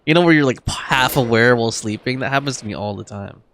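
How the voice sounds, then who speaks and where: A man's voice: medium pitch, quick pace, fairly bright tone, slight background noise. A man giving casual, slangy reactions as he streams a game. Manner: laid-back slangy interjections. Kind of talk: casual reaction commentary over gameplay. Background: game music.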